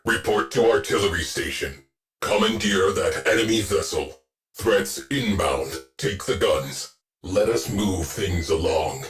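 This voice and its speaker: A man voicing a stern, deadpan artificial intelligence that runs a warship in a video game, giving clipped military orders. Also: deep voice. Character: terse, grim military ship artificial intelligence, male, commanding. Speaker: shipboard tactical officer. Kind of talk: video game mission voice lines.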